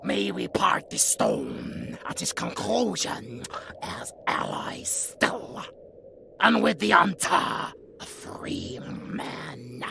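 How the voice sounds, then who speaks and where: An actor voicing a respectful yet excitable goblin lord with a gravelly, creaky voice in a fantasy audio drama. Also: mid-range voice, very slow, a little hiss or hum, heavily acted. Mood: solemn. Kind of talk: fantasy audio roleplay acting.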